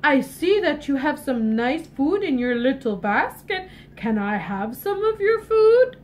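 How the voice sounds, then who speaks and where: A woman voicing a sly, greedy big bad wolf in a storybook tale, lowering and stretching her voice. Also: big pitch swings, measured pace, darkish tone, a little hiss or hum. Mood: scheming.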